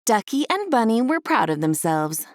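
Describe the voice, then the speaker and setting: A woman reading a scripted children's storybook as an expressive narrator. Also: a little high, moderate pace, dry recording, studio quality.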